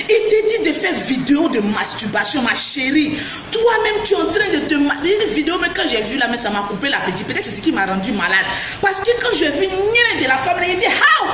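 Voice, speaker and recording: A woman with an Ivorian French accent, down with the flu, chatting on a live stream, greeting viewers by name and breaking into shouts. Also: very high voice, sing-song, brisk, hardly any pauses. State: has the flu. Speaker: beauty vlogger live-streaming. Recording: echoey room with background noise.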